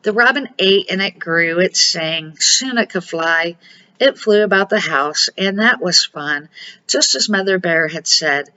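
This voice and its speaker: A woman's voice: on the low side, expressive, measured pace, pushed and bright, highs cut off. An older woman reading a children's storybook aloud in a homely, amateur way. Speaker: storybook reader.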